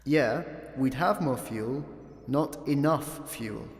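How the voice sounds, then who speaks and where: An actor playing the dry, literal, pedantic computer of an escape pod in a Scottish-accented sci-fi comedy radio play. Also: male voice, medium pitch, takes it slowly. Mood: dry, matter-of-fact. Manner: dry, literal, matter-of-fact. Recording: roomy, long echo, music behind.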